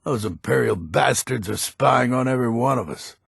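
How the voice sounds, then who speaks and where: A man playing a gruff, grumpy but honourable old Nord hunter in a fantasy video game, with a gravelly, husky voice and a weighty, deliberate manner. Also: medium pitch, lively intonation, dry recording, studio quality, heavily acted. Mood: suspicious, gruff. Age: older.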